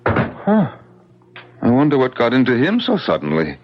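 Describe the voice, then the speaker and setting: An actor playing a persuasive, grandiose theatrical impresario in a 1970s radio drama, pompous and emphatic. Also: male voice, mid-range voice, wildly swooping pitch, normal speed, noisy background. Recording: dull old 1970s radio broadcast.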